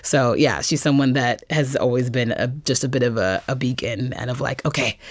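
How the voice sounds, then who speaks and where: A woman's voice: rumbling low, normal speed, no room sound. A woman speaking casually as an interview guest, conversational and peppering her talk with like and you know. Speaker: guest playwright and performer.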